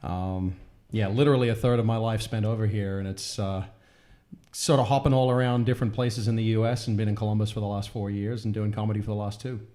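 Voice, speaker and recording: A man's voice: lowish voice, fairly even intonation, fast, warm tone. A man with an Australian accent, a comedian guest chatting easily on a panel. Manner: easygoing, chuckling. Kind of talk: casual panel chat.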